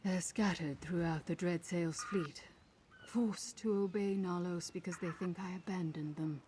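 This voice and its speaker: A commanding pirate captain acted by a woman with a slightly low voice and a wry, dramatic delivery. She sounds like a vengeful video game mercenary, with clear noise behind her. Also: unhurried, darkish tone, natural voice. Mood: wry, confident. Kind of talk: video game character acting.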